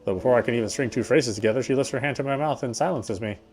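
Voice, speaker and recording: A man's voice: mid-range voice, racing, darkish tone, husky. A man reading a visual novel's narration aloud expressively, sometimes stumbling and going back over a phrase. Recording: game music behind the voice.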